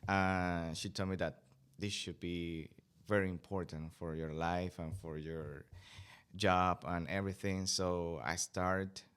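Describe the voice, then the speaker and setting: A man's voice: deep voice, fairly even intonation, slow pace, fairly bright tone, clear, resonant tone. A young man from Venezuela, a Spanish speaker, answering podcast interview questions hesitantly with many fillers and restarts. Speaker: student guest.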